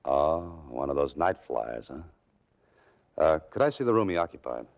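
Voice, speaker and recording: Hardboiled amateur sleuth, very low voice, wry, clipped, world-weary, dull vintage radio recording. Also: male voice, very animated, moderate pace, gravelly, over loud music, heavily acted. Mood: probing. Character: world-weary wry male writer turned amateur detective. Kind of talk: 1940s radio acting, first-person noir narration.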